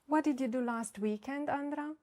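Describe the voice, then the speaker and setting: A woman acting as a speaking exam examiner, reading set questions in a measured, formal way. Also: high voice, darkish tone.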